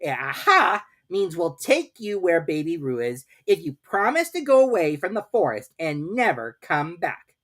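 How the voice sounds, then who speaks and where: A woman's voice: on the low side, big pitch swings, unhurried, a bit bright, pitched above the performer's own voice, exaggerated voice. A woman voicing a bossy, self-important male rabbit who lectures emphatically and pompously in a storybook reading. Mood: scheming, bossy.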